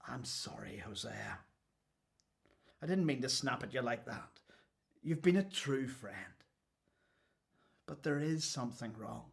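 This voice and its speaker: An actor playing an imprisoned prophet in a radio play, weary, testy and full of doubt, with a brooding delivery. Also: male voice, medium pitch, animated, measured pace, creaky, pauses often. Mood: apologetic.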